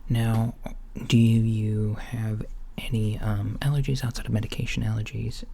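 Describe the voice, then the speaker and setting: A soft-spoken man playing a mild, curious alien doctor in an ASMR roleplay, hesitant and politely clinical, often dropping toward a whisper. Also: lowish voice, level tone, a little slow, gravelly. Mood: gentle, matter-of-fact. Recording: lo-fi with faint background noise.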